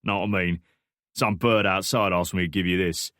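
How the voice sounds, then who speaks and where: A man voicing a gruff middle-aged stranger with a thick Cockney accent, slangy and cocky. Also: low voice, fairly even intonation, normal speed, fairly bright tone, dry recording, clean studio sound. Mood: cheeky.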